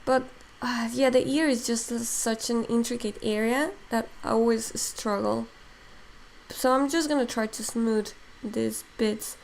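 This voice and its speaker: A woman from Mexico whose first language is Spanish talks her way through a digital sculpting demo, thinking aloud with plenty of fillers. Her voice sits a little high and has a gravelly fry to it. Faint background noise runs under the stream. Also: low-quality recording. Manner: thinks aloud while working, many fillers. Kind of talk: casual live-stream software demo.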